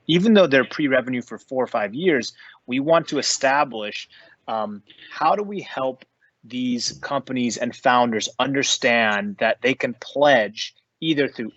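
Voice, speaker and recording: A man's voice: medium pitch, fairly bright tone, no room sound, highs cut off. A man giving earnest, emphatic advice as an investor on a panel over an online call. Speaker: investor and business adviser on a panel.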